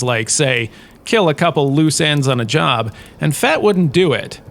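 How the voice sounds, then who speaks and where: Higher-pitched man lecturing with wry emphasis. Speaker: host, in-character history lecturer. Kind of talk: scripted lecture-style narration of fictional history.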